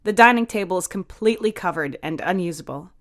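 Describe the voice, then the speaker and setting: Casting: female narrator with a slightly low voice for scripted story narration. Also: lively intonation, quick pace, fairly bright tone.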